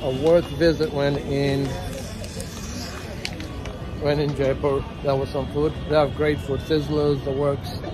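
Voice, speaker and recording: A man's voice: on the high side, flat delivery, measured pace, long pauses. A man in his forties narrating a casual travel vlog in short, upbeat phrases, filming himself outdoors with a phone at arm's length. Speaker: travel vlogger. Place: outdoors on city streets and in a rickshaw. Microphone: phone held at arm's length for selfie video.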